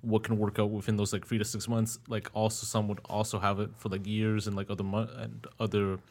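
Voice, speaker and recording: Low, flat-voiced rambling young host. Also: male voice, fairly quick. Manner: rambling, filler-heavy, laid-back. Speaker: podcast host. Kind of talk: casual interview talk.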